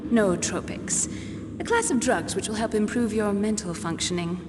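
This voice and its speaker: An actress playing a proud, no-nonsense military scientist in a video game, precise and clinical. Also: female voice, mid-range voice, normal speed, natural voice. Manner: precise, clinical, matter-of-fact. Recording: very reverberant hall, loud background noise.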